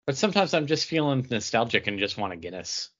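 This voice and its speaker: A man's voice: medium pitch, sing-song, fast talker, fairly bright tone. A man talking casually as one of the hosts of a chatty podcast. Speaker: podcast host. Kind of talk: casual chat. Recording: slightly dull, lo-fi recording.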